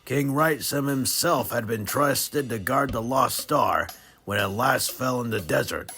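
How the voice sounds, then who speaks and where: A man's voice: mid-range voice, a little slow, bright, forceful tone, soft background music. A man playing an ancient, loyal temple guardian in an audio drama, speaking earnestly and formally with casual touches. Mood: grateful, explanatory. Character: ancient male temple guardian freed from a tomb, loyal oath-keeper.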